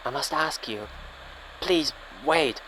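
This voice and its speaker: A man voicing a desperate German radio caller, pleading in halting English with a German accent. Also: a little high, gravelly, sharp tone, a little hiss or hum, pitched above the performer's own voice, heavily acted, poor audio. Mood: urgent pleading.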